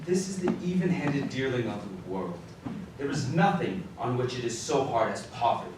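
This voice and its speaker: A man's voice: mid-range voice, expressive, normal speed, a little mellow, natural voice. Man acting defensive young suitor, very echoey. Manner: questioning, formal phrasing. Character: defensive young businessman confronted by his fiancée. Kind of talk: live radio drama acting, period dialogue. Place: live community theatre stage. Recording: very echoey live hall, distant, clear noise.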